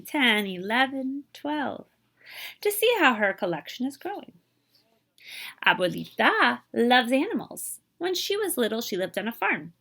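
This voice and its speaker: A woman reads a picture book aloud for children. Her voice sits fairly high and is bright, with wide, animated swings in pitch. She reads expressively and stops to explain and translate Spanish words. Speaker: librarian reading aloud.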